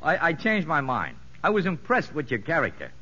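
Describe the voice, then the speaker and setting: A sly convict safecracker from a vintage radio play. His voice is sharp and very animated, full of street slang and feigned innocence. The recording is dull and aged. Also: on the high side, a little fast, breathy edge, full character voice. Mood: sly, cocky. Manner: streetwise slangy talk, mock-earnest. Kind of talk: 1950s radio drama acting. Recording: muffled vintage radio broadcast.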